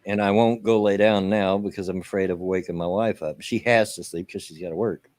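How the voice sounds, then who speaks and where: American male co-host, low-pitched, plain-spoken and folksy, chatting casually on a close, dry home mic. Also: steady tone, fairly quick, darkish tone, no room sound. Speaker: co-host of a gaming radio stream. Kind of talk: casual banter. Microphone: close microphone at a home setup.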